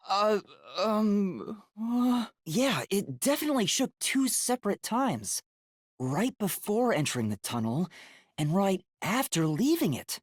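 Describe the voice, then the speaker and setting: Young male video game detective, earnest, anxious, hesitant, animated pitch swings, slightly raspy, dry close studio sound. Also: no echo, exaggerated voice. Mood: nervous, determined. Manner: earnest, hesitant, thinking aloud. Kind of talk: English video game voice acting.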